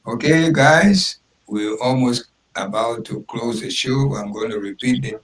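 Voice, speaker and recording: A man's voice: medium pitch, measured pace, rough recording. A man hosting a live radio talk show.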